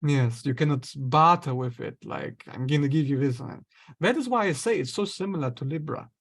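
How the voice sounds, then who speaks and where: A man, an astrologer guest, explaining ideas in a relaxed conversational way, sometimes acting out inner voices. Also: fairly high pitch, moderate pace. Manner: explains with acted-out inner voices and light laughs.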